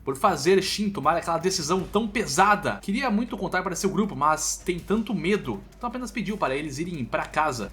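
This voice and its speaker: A man's voice: sits high, a bit bright, a little hiss or hum. A man with a Brazilian accent narrating a story recap in an informal, colloquial, run-on way.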